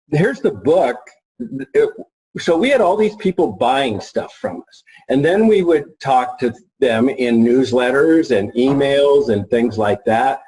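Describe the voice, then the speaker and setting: A middle-aged man informally presenting as a marketing expert, folksy and halting as he thinks aloud. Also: mid-range voice, a little mellow, rough recording. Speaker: marketing expert and author presenting his book.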